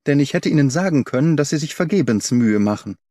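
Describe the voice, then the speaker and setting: A man's voice: medium pitch, expressive, quick pace, a little mellow, dry recording, studio quality, close to an everyday voice. A man voicing a talkative, loyal older woman servant in an audiobook story, colloquial, deferential and eager to explain. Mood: regretful.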